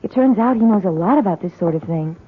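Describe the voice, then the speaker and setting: An actress playing an earnest, emotional young American college student in a 1970s radio drama. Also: female voice, mid-range voice, animated, quick pace, narrow, tinny audio, a little hiss or hum, lightly acted. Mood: reassuring. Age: young adult. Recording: thin, band-limited 1970s radio recording.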